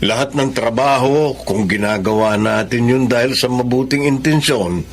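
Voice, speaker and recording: Actor as a kindly Filipino man, mid-pitched voice. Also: moderate pace, close to an everyday voice. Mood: earnest, encouraging. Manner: courteous, earnest, reassuring. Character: kindly, earnest married benefactor, encouraging and firm. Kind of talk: Filipino drama acting.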